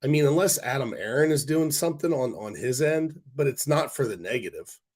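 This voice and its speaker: A man hosting a casual stock-market livestream, hoarse from a cold, rambling with fillers and restarts while answering viewer comments. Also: mid-range voice, lively intonation, brisk, darkish tone. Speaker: stock stream host.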